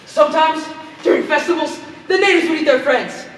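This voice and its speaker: A woman's voice: high voice, fairly quick, natural voice. A woman acting on stage as a blunt, well-traveled lady explorer who tells quirky tales in a projected voice. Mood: deadpan. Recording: echoey theater hall, far mic, faint noise.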